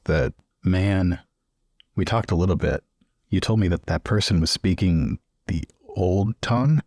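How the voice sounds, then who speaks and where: A man playing a restless, reticent scholar with an adventurous streak, speaking haltingly in improvised roleplay over background music. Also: low-pitched, no echo, clean studio sound. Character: James, restless adventurous young scholar, reticent about leaving. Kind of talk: improvised in-character roleplay dialogue.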